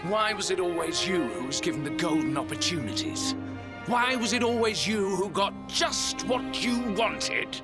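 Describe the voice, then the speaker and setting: An actor playing a sneering, envious traitor knight in a video game, with a mocking theatrical drawl. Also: male voice, high voice, animated, normal speed. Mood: bitter, enraged. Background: orchestral game music.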